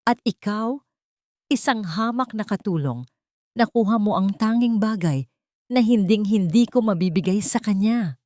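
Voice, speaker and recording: A woman's voice: mid-range voice, measured pace, dark tone, dry recording, studio quality, voice pushed up, close to an everyday voice. A woman voicing a jealous, menacing rich woman villain in a dramatic audio story. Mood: bitter, envious.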